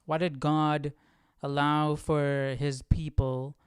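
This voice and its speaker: Male livestream host, smooth voice a bit high, informal and questioning, close dry sound, casual study commentary. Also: flat delivery, takes it slowly, dry recording. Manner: informal, questioning, thinking aloud. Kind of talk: casual Bible study commentary, reading aloud and reflecting.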